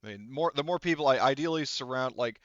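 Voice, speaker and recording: A man speaks for a female resistance leader in a tabletop game. The delivery is measured and matter-of-fact, with halting pauses. The tone is smooth and clear, recorded dry and slightly dull. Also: medium pitch, a bit bright, no echo, close to an everyday voice. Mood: measured, planning. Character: female resistance leader calmly laying out battle plans.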